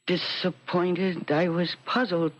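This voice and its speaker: A woman acting in a radio drama as a dreamy, haunted character, musing in a halting way. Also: fairly low pitch, level tone. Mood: puzzled, yearning. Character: dreamy, haunted woman drawn to her childhood room. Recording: noisy, muffled lo-fi radio drama recording.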